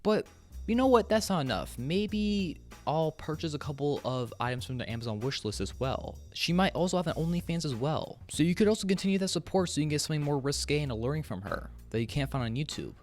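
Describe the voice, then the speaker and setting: A man's voice: a little high, expressive, quick pace, a little mellow. A young man narrating an opinion video essay in a conversational, frank and emphatic way, sometimes swearing. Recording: music under the voice. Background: background music.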